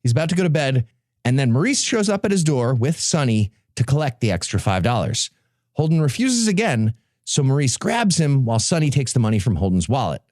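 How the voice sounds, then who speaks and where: Animated, sardonic male podcaster. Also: mid-range voice, brisk, no echo, studio quality. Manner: sardonic, sweary, emphatic. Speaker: podcast presenter. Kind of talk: comic, sardonic summary of a novel.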